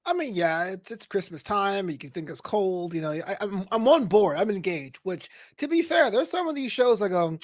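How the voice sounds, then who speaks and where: A man giving casual, excitable comic commentary as a co-host, often slipping into mock quotes. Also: high voice, normal speed, hardly any pauses. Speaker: co-host reacting to an old radio show.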